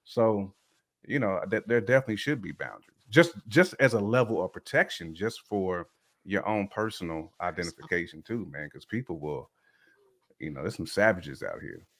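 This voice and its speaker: A man's voice: sits low, expressive, moderate pace, a bit bright. A man giving casual, opinionated commentary in conversation, stressing his points by repeating words.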